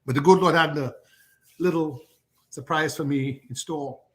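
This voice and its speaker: Fiery high-voiced older man. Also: normal speed. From Dominica. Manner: fiery, repetitive rhetorical lists. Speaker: guest commentator. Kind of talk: impassioned political talk show commentary.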